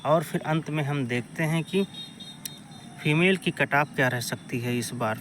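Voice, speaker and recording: Higher-pitched man, noisy recording. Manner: repetitive, step-by-step phrasing. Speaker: exam-coaching presenter. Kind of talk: informal explanatory exam analysis addressed to students.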